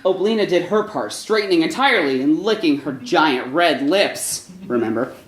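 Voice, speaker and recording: Animated, irreverent comic story reader. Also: medium pitch, moderate pace. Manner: profane, irreverent, breaks up laughing. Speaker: story reader, comedy troupe member. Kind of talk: live comic story reading with casual asides. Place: indoor live show before listeners. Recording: roomy live venue, clear background noise.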